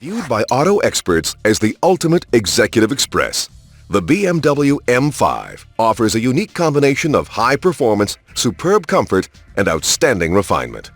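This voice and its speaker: A man's voice: mid-range voice, huge pitch swings, moderate pace, no room sound. A man reading scripted promotional narration in a polished, emphatic announcer manner, as for car showcases in a racing game. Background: music.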